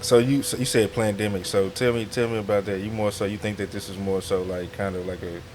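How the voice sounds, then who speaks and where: A man's voice: fairly low pitch, fairly even intonation, fast, darkish tone, slight background noise. A man hosting a podcast, interviewing in a casual, laid-back and slangy way.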